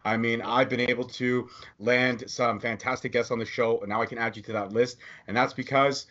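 A man's voice: medium pitch, flat delivery, fairly quick, bright, forceful tone. A man hosting a podcast interview, upbeat and joking as he asks his questions. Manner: upbeat, joking, self-promoting. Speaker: podcast host. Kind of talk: casual interview chat. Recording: dull lo-fi audio.

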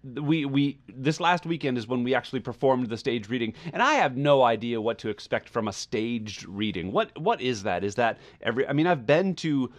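Guy hosting a podcast, casual chat, kinda rambles along, mid-pitched voice. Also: animated, normal speed, hardly any pauses. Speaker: podcast host.

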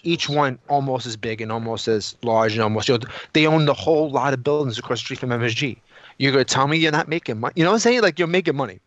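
A man's voice: mid-range voice, fast, no room sound, muffled recording. A man in casual, opinionated podcast discussion, emphatic and repetitive, often throwing out rhetorical questions. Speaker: podcast co-host.

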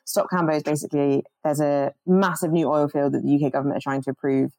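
A young woman with a low, mellow voice talks earnestly about her organizing over a video call. She restarts her sentences often as her thoughts run ahead, and the sound is dry and close. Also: flat delivery, quick pace, dry recording. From born in Jamaica, grew up in the UK. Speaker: climate organizer guest.

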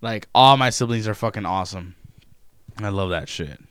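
A man's voice: fairly low pitch, moderate pace, fairly bright tone, smooth. A young man in casual podcast chat, loose and profane, rambling with trailing asides.

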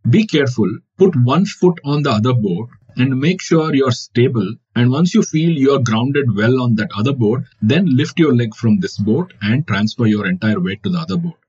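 A man's voice: medium pitch, expressive, moderate pace, few pauses, darkish tone, breathy edge. A man from India whose first language is Kannada, giving reflective interview answers as a wildlife photographer with many fillers. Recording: dull lo-fi audio.

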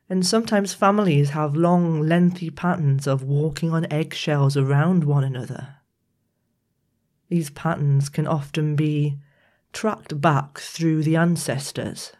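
A woman hosting a reflective solo podcast, talking in a gentle, measured way while congested and croaky from a viral infection. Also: low-pitched. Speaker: podcast host.

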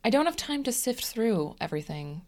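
A woman's voice: a little high, very animated, a little fast, a little mellow. A young woman co-hosting a casual podcast, teasing her friend and laughing often. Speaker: podcast co-host. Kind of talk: casual true crime podcast chat.

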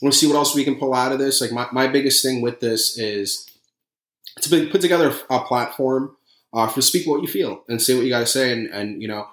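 A man's voice: medium pitch, a little fast, fairly bright tone, roomy sound. A man with a New York accent hosting a casual podcast, brash and profane in rambling banter. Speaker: podcast host.

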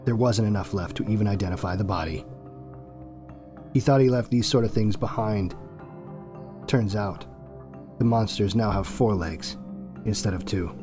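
A man's voice: lowish voice, normal speed, long pauses, over music. A man reading scripted, dramatic narration for an audio drama.

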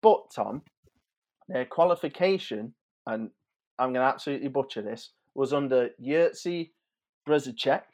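A man's voice: mid-range voice, lively intonation, moderate pace, darkish tone. Chatty man in his thirties, casual podcast chat. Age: 30s. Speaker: sports betting podcast host. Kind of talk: casual football preview chat.

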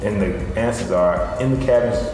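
A young man with a lowish voice reads quiz questions in a playful, joking way. The echoey room has a long reverb, and faint music plays underneath. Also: fairly quick. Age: young adult. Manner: playful, joking, laughs easily. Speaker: podcast host. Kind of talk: casual chat, reading out quiz questions.

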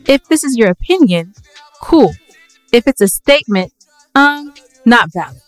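Female co-host, medium pitch, playful banter, very dry sound. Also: no room sound, low-quality recording. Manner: playful, teasing. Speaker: podcast co-host. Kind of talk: casual banter between co-hosts.

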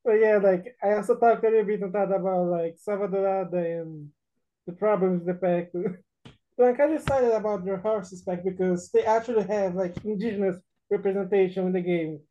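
Brazilian guy on a podcast, mellow voice, kinda lo-fi mic. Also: high voice, normal speed. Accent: Brazilian Portuguese. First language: Portuguese. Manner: relaxed, laughs between sentences. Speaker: podcast guest. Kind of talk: casual chat. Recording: dull lo-fi sound.

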